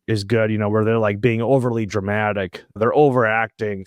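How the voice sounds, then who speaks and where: A man's voice: mid-range voice, no room sound. Casual male podcast host, dry sound. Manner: informal, frequent "like" and "you know". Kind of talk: casual chat.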